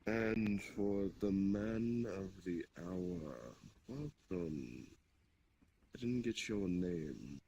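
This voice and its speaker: A man playing a newly awakened Demon King in improvised roleplay, speaking in a deliberate, halting, formal and commanding way. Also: sits low, lively intonation, mellow, exaggerated voice. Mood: stately, commanding. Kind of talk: improvised virtual-reality roleplay. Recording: clearly noisy amateur voice-chat audio.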